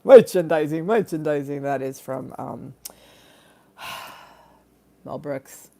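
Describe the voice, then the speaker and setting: A woman's voice: low voice, slow, gravelly, warm tone. A woman hosting a rambling comic live stream, quirky, giggly and bawdy, theatrically drifting into asides. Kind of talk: rambling comic livestream chat.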